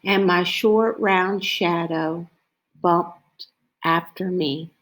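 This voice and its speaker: A woman reading a children's picture book aloud as a storyteller, in a deliberate way. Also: lowish voice, steady tone, a bit bright, low-quality recording.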